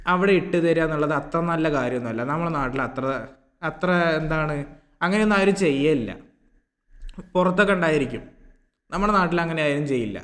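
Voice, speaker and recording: Male online commentator, fairly high voice, informal and repetitive with rhetorical questions; long echoing reverb on the recording. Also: a bit bright. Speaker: YouTube reaction commentator. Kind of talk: informal opinion commentary to viewers.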